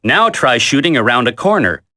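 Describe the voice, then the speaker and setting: Firm, lively-pitched military game instructor. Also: male voice. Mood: instructive, firm. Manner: instructive, deliberate, commanding. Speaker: tutorial instructor. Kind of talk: scripted video game tutorial narration.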